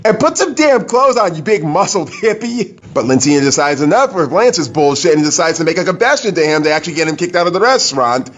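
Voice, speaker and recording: A man hosting a casual reaction commentary, sarcastic and mocking. His voice sits high and bounces lively up and down. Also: brisk, hardly any pauses. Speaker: commentary host.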